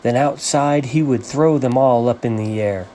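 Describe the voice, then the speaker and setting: A man reading a rhyming children's story as its author, with an animated, sing-song rhythmic delivery. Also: mid-range voice, normal speed, slight background noise.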